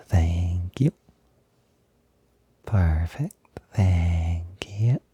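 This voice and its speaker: A man playing an affectionate, smitten young roommate in an intimate roleplay audio, talking in a soft, breathy, gently hesitant and playful way. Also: on the low side, dry recording, exaggerated voice. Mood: soft, focused. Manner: gentle, hesitant, giggly, softly doting. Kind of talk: intimate roleplay audio, casual improvised-sounding chat.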